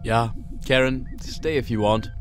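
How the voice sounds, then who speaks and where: A man playing a gruff, dismissive starship captain in sci-fi audio theatre, with a sarcastic, commanding manner. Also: mid-range voice, steady tone, a little slow, loud music behind the voice, natural voice. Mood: dismissive, brisk.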